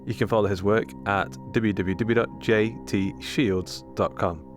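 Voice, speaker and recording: A man's voice: lowish voice, fairly even intonation, a little slow. A man reading a scripted podcast outro as the announcer, with music underneath.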